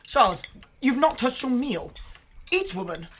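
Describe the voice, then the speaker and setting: A woman's voice: sits high, very animated, a little slow, a little hiss or hum. A woman playing a wealthy, condescending Victorian lord and fiancé in a period radio drama. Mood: smug.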